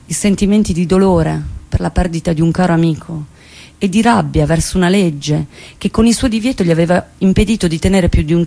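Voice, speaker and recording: Embittered, outspoken woman, low-ish register, theatrical monologue delivery, emphatic and intense with weighted pauses. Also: creaky, dark tone. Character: embittered outspoken woman recounting her lost friend and trial.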